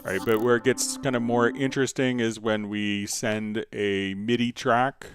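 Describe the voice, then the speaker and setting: A man hosting a casual software tutorial, thinking aloud and hesitating as he demonstrates. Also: on the low side, level tone, unhurried, soft background music. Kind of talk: casual screen-share software tutorial, live stream.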